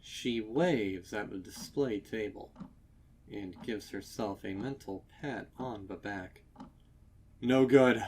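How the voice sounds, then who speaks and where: Male narrator for dark fiction, lowish pitch, unhurried and deliberate, ambience faintly under the voice. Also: slight background noise. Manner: measured, deliberate phrasing. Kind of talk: dramatic scripted story narration.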